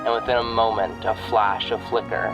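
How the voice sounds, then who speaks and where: A man giving descriptive tabletop game narration in a deliberate, restrained way. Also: fairly low pitch, flat delivery, highs cut off. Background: music bed.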